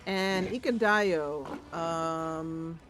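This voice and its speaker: A woman's voice: sits low, drawn-out words. Playful woman commentating on a game over music. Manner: playful, thinks aloud, addresses the game characters. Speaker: host of a game playthrough. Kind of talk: casual gameplay commentary with read-aloud narration.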